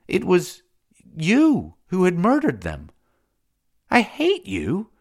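A man's voice: sits high, huge pitch swings, takes it slowly, a little mellow, voice pushed up, heavily acted. A man voicing a scornful young priestess. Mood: accusing. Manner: pleading, then accusing and bitter. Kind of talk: audiobook character voice.

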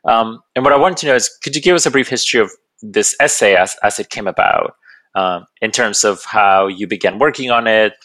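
A man speaking as the host of an academic podcast interview, in a measured, formal register. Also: lowish voice, a bit bright, low-quality recording. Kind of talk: podcast interview with scripted introduction.

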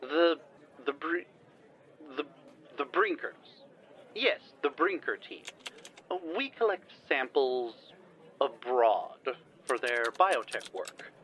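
Bright male voice, smooth-talking explorer, formal, earnest, animated intonation, improvising, audio drama. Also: mid-range voice, takes it slowly. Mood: earnest explaining.

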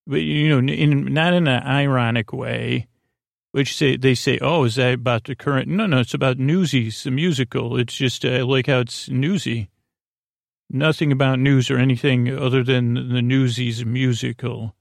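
Guy podcast host rambling at bedtime, creaky voice, keeps wandering off track, very dry sound. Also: medium pitch, fairly even intonation, no room sound. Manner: meandering, halting, trails off and loses his thread. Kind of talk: rambling, improvised bedtime monologue.

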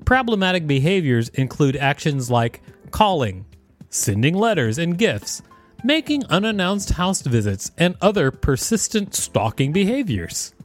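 A man co-hosting a podcast, reading an encyclopedia article aloud in a plain, steady way and joking between sections. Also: fairly high pitch, a little slow, faint music behind. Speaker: podcast co-host and reader.

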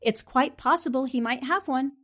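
Woman playing a high-voiced, quick-witted newspaperwoman. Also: a bit bright. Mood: coolly knowing. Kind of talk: 1940s radio drama acting.

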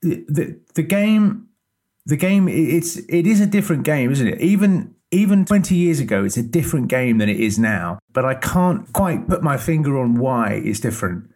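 A man co-hosting a podcast in casual chat, his voice lower than usual today. He teases and repeats his phrases. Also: very animated, normal speed, darkish tone. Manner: teasing, questioning, repeats phrases. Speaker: podcast co-host.

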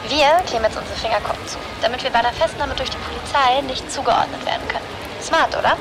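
An actress playing a cheeky young activist presenting a social-media style how-to in a chirpy, playful manner. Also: female voice, fairly high pitch, fast, noisy background, natural voice. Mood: upbeat.

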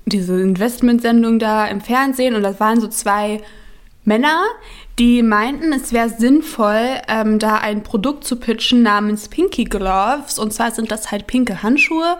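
A woman co-hosting a podcast in casual chat, lively and quick to laugh. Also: a little high. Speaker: podcast co-host.

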